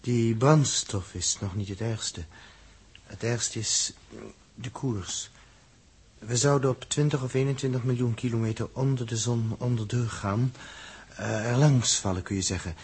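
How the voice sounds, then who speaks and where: An actor playing a blunt, edgy spaceship crewman in a 1960s radio drama, with a husky voice and a hesitant, sardonic manner. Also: mid-range voice, fairly even intonation, unhurried. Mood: grave, reluctant.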